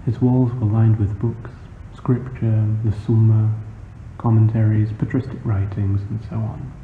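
A man reads a literary short story in a measured, formal manner, as scripted narration. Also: on the low side, flat delivery. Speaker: author reading a short story. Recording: muffled phone-like sound, noisy, roomy echo.

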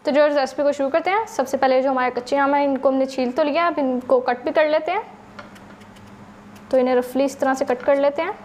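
A woman with a Pakistani Urdu accent narrates a home recipe step by step and talks straight to her viewers. Her voice sits high and stays patient and explanatory. A faint noise runs under the recording. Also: fairly even intonation, brisk, darkish tone. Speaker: cooking channel host.

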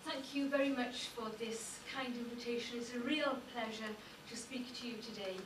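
A middle-aged woman with a Welsh accent, a native Welsh speaker, giving a measured public lecture as a university lecturer. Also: on the high side, steady tone, recorded from a distance, background noise. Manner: measured, lists names with careful emphasis. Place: darkened lecture hall. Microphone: far room recording. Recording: echoey hall recorded from afar.

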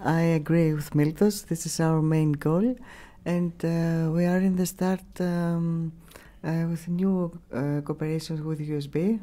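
A middle-aged woman with a Greek accent answering interview questions in a halting way, searching for words, on a studio microphone. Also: sits low, fairly even intonation, dark tone. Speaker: radio station staff member, interviewee. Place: small radio studio. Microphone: broadcast mic on boom arm.

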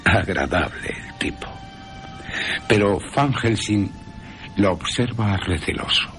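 A man narrating a dramatic scripted radio story in a theatrical, deliberate manner, with wry asides. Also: on the low side, fairly bright tone, soft background music.

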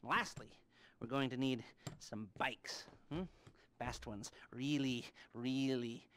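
Video game heist planner: eccentric, nervous, mid-pitched male, halting delivery, emphatic repeats. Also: fairly even intonation, fairly bright tone, a little hiss or hum. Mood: eager briefing. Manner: halting, stammering, rambling with emphatic repetitions. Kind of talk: video game cutscene acting.

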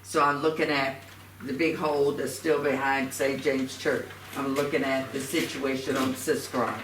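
A man speaking as a council member at a public meeting, halting and repeating himself as he searches for words. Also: medium pitch, level tone, a bit bright. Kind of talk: informal public meeting discussion. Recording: echoey room, distant mic, faint noise.

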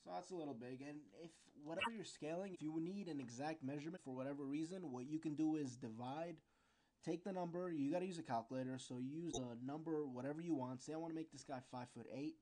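A man giving an informal, unscripted software tutorial, explaining step by step and sometimes searching for words. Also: fairly high pitch, quick pace.

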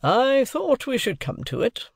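A man's voice: high-pitched, big pitch swings, a little slow, no echo, clean studio sound, pitched above the performer's own voice, full character voice. A man voicing a brusque, domineering middle-aged lawyer for an audiobook, with an emphatic, cross-examining manner. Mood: triumphant, overbearing. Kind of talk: audiobook character dialogue.

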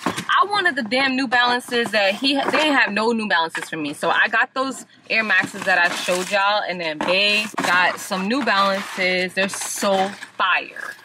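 A woman's voice: medium pitch, a little slow. A young woman, chatting casually to her viewers while filming in a car. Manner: chatty, laughing, addresses viewers as y'all. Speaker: vlogger. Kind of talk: casual vlog chat. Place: inside a parked and moving car. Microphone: handheld camera at arm's length. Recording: noisy car interior.

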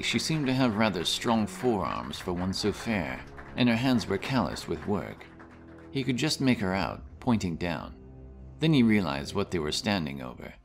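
A man's voice: deep voice, vocal fry. A man reading a scripted fantasy story as an audiobook narrator.

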